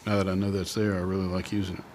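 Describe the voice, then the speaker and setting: Guy with a lowish voice thinking out loud while he draws, unscripted, bit of noise behind him. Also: level tone, racing. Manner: musing, thinking aloud, trailing off. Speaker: artist hosting a drawing video. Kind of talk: casual unscripted art demonstration talk.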